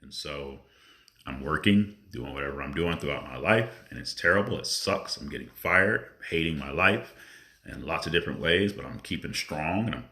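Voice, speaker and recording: Very deep-voiced man, earnest spiritual monologue. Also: animated, pushed and bright. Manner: earnest, reflective, with drawn-out pauses. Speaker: spiritual vlogger.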